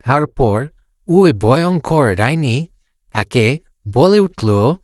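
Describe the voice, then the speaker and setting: A man's voice: medium pitch, lively intonation, drawn-out words, a little mellow, no echo, clean studio sound. A man narrating a fairy tale in a simple, unpolished storytelling way, repeating phrases as he goes.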